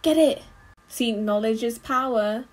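Expressive young woman with a high, husky voice. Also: a little slow. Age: young adult. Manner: expressive, exclaiming. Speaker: movie reaction host. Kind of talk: casual, reactive running commentary. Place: small bedroom.

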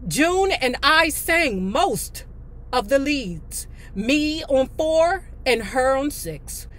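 A woman's voice: high voice, sing-song, very slow, a bit bright. An American woman in her fifties hosting a video, reading aloud and commenting in a blunt, sassy, casual way. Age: 50s. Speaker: video host. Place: inside a parked car.

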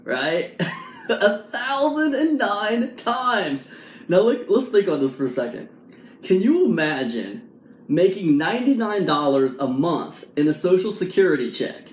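A man in his forties talks straight to his phone camera in a small room at home, spinning a motivational story with lots of "right?" and "you know." His voice sits a bit high for a man and swings up and down a lot. The room gives it a noticeable echo. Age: 40s. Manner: animated storytelling with many fillers and rhetorical "right?". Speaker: vlog host. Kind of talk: casual motivational talk to camera. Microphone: camera or phone at arm's length. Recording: echoey room sound.

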